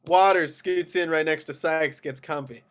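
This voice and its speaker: A man's voice: on the high side, fairly even intonation, normal speed. A man narrating screenplay action in clipped descriptive phrases at an amateur table read. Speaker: narrator reading stage directions.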